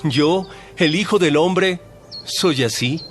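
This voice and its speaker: A man playing a calm, authoritative male teacher, speaking in a measured, solemn way in a dramatized audio Bible. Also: fairly high pitch. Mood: earnest teaching. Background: soft music.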